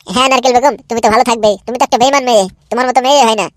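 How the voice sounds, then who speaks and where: A man's voice: extremely high pitch, steady tone, rapid-fire, dry recording, clean studio sound. A man voicing a bashful, cheeky, lovestruck young man in an amateur comic cartoon, giggly and repetitive. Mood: reproachful.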